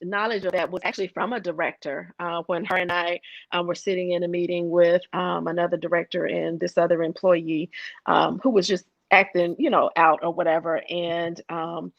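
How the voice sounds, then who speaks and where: Soft-spoken woman with a dull lo-fi sound. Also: on the low side, little pitch movement, normal speed, vocal fry, no room sound. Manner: hesitant, many fillers and restarts. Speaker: guest. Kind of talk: conversational interview.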